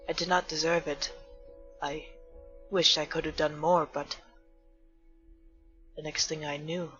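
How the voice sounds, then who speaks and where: A woman voicing an earnest, polite male bartender with formal, measured speech in a fan comic dub. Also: low-pitched, a bit bright. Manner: formal, measured, careful diction. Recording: muffled husky recording with faint music.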